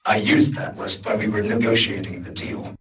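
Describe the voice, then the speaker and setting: A man reading a guarded, formal male armored hero in an audiobook, speaking in a measured way. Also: fairly low pitch, fairly even intonation, far from the mic, heavy background noise, lightly acted. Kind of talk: audiobook character voice.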